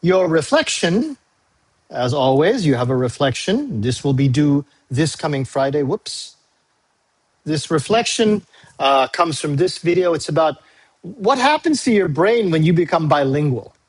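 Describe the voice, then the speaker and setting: A male university English teacher, a native Tagalog speaker, recording a class briefing video; he talks patiently, repeats key dates and fills pauses with "uh". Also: fairly high pitch, big pitch swings, husky. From Philippines. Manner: frequent "uh" fillers.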